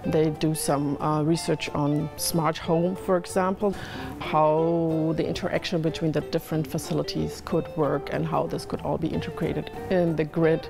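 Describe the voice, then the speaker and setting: A woman explaining a design process step by step in an interview, calm and measured. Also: low-pitched, gravelly. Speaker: summer school organizer. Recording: music playing underneath.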